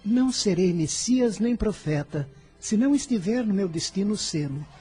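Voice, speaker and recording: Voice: mid-range voice, expressive, warm tone, slightly rough, faint music behind. An actor voicing an earnest, kind fifteen-year-old boy in a radio drama, speaking in a solemn, formal way. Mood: solemn, humble. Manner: solemn, measured, formal diction.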